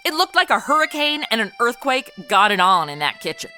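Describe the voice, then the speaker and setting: Woman playing a bright, flippant party girl. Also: a little high, big pitch swings, brisk, faint music behind. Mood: gossipy narration. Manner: slangy, sarcastic, blasé. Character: flippant, sarcastic modern party girl in the Gold Rush. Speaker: lead character and narrator. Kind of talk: comedy audio drama acting and narration.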